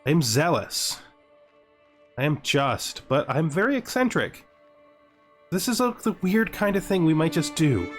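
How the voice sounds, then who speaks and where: A man's voice: fairly high pitch, sing-song, measured pace, long pauses. Man thinking aloud over game music. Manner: deliberative, repeats himself, often checks with "right?". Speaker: gaming host playing a strategy game. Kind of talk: thinking-aloud gameplay commentary, part in character.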